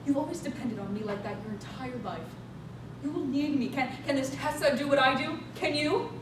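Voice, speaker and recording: Woman playing a cold driven scientist lady, talks fast, really echoey stage recording. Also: high-pitched, recorded from a distance, noisy background, natural voice. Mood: defiant, insistent. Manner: terse, insistent. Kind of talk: staged reading of a play.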